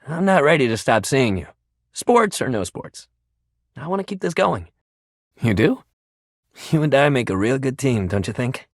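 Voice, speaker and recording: Sports-mad video game bro, exuberant, big pitch swings, warm-hearted, slangy, dry studio sound. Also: male voice, creaky, dry recording, heavily acted. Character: sports-obsessed, upbeat, warm-hearted young male jock pal. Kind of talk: video game character acting.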